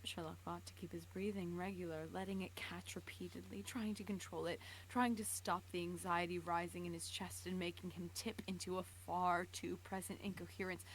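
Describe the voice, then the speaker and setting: A woman's voice: on the low side, steady tone, moderate pace, few pauses, low-quality recording. A woman narrating fan fiction dramatically on a live stream, stumbling over words and dropping into casual asides. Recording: clear background noise.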